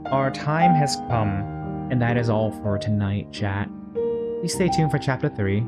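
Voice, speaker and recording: A man reading a children's story aloud as host, in an expressive, theatrical way. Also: lowish voice.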